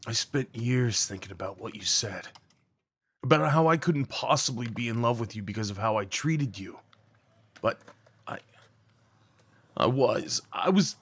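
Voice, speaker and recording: A man playing a remorseful young former delinquent tenderly confessing old love in an intimate roleplay audio drama, speaking haltingly and earnestly. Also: medium pitch, a bit bright, breathy edge, full character voice. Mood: pained, confessional. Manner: halting, confiding, emotionally earnest.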